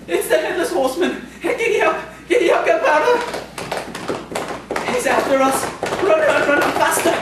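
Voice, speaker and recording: Man playing a panicked, high-voiced schoolteacher, echoey. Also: animated, moderate pace. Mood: frantic panic. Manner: breathless, panicked exclamations. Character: terrified schoolteacher fleeing on horseback, frantic and nervous. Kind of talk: live radio-play acting. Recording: very echoey, distant, noisy live stage recording.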